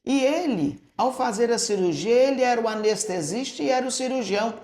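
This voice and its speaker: An older woman, a retired school director, telling stories from her early years in a relaxed way and often tagging her sentences with "né?". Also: fairly high pitch, a little fast. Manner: storytelling, tags statements with "né?". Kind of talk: reminiscing interview answers. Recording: echoey room.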